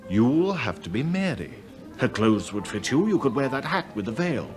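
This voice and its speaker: An actor playing a scheming, controlling English husband in a British radio drama, speaking in a terse, edgy, commanding way. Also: male voice, medium pitch, very animated, moderate pace, music in the background, close to an everyday voice. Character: scheming, controlling, well-off husband under pressure.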